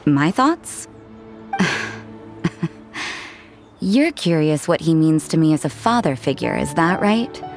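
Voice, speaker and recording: An actress playing a cool, detached young woman in a video game, speaking in a measured, dry, unsentimental way. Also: low-pitched, sing-song, soft background music, heavily acted. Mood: calm, faintly amused. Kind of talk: English video game character dialogue.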